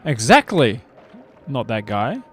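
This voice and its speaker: A man's voice: medium pitch, huge pitch swings, slow pace. A man streaming a video game live, chatting casually and laughing as he answers his chat. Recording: clear game music under the voice. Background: game music.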